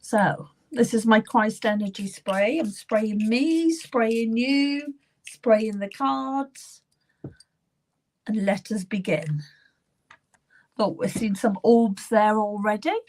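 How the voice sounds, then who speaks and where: A middle-aged woman hosting a live psychic reading session, chatting gently with viewers by name, her voice still a little croaky and at times falling to a whisper. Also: fairly high pitch, takes it slowly. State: slightly croaky voice. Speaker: psychic medium.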